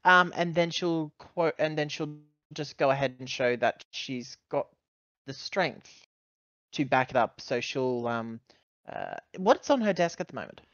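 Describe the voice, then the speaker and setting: A man playing a tabletop roleplaying game, describing actions casually, halting and correcting himself as he thinks aloud. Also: on the high side, lively intonation, fairly bright tone, no room sound, dull audio.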